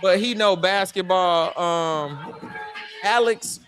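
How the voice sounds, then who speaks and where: A woman's voice: takes it slowly, music in the background. A woman, chatting bluntly with her live-stream audience. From Chicago. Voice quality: deep voice. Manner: blunt and slangy, talking straight to the chat. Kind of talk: casual live-stream chat with viewers.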